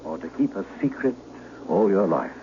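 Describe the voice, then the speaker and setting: An actor playing a menacing, commanding duke in an old-time radio drama, with imperious, formal archaic speech. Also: male voice, sits low, animated, moderate pace. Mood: coolly bargaining. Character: menacing, commanding English duke intimidating a peasant boy. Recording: dull, noisy vintage radio broadcast.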